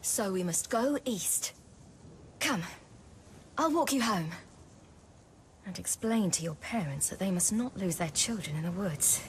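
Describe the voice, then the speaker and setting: An actress voicing a calm, protective young swordswoman in a fantasy game, gentle but firm. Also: mid-range voice, unhurried, long pauses, a little hiss or hum. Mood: gentle, probing. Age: young adult.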